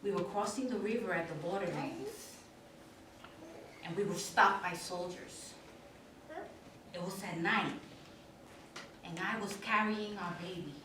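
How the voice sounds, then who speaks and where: Stage monologue, actress as lonely elderly widow, very slow and hesitant delivery, distant mic with faint noise. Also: female voice, sits high, expressive, very echoey. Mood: anguished. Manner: slow, deliberate, hesitant storytelling with dramatic pauses. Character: elderly Dominican widow, lonely, courteous, haunted immigrant storyteller.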